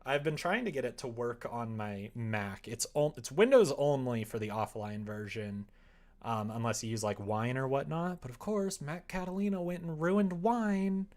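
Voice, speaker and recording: A man streaming live, chatting casually and muttering to himself as he thinks aloud while working at the computer. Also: a little high.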